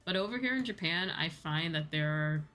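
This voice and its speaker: A woman's voice: deep voice, lively intonation, normal speed, sharp tone. A woman chatting casually and in a rambling way with viewers on a livestream. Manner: rambling, conversational, casual swearing. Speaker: VTuber livestreamer. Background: background music.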